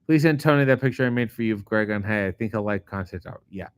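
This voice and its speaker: A middle-aged man, a comedian host, reading viewer comments aloud and answering them in a casual, profane, deadpan way. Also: lowish voice, fast, no room sound, studio quality. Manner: profane, sardonic, deadpan asides. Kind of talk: casual chat answering live chat questions.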